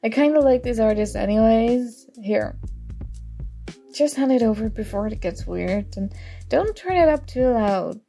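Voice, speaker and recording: A woman's voice: on the high side, expressive, a little slow, gravelly, soft background music. A young woman acting in an ASMR audio roleplay as a prickly but grudgingly fond student, teasing and defensive. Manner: teasing, defensive, flustered, soft-spoken tsundere.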